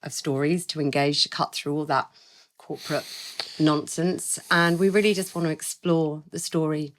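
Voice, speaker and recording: A woman's voice: deep voice, fairly even intonation, normal speed. A woman co-hosting a podcast interview, chatty and playful. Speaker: podcast co-host.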